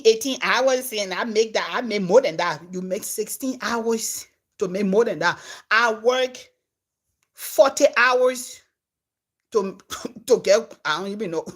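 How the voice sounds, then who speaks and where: A Liberian woman, a comedian and content creator, telling her followers a story in a casual, animated way that swings from loud to hushed. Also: on the high side.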